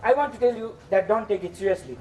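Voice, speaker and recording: A bitter blackmailing moneylender, played by a young man with a high-pitched voice. The stagey delivery is insistent and threatening, picked up from a distance. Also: quick pace, warm tone, close to an everyday voice. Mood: coldly threatening. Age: young adult. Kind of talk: stage acting in a school play. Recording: distant mic, faint background noise.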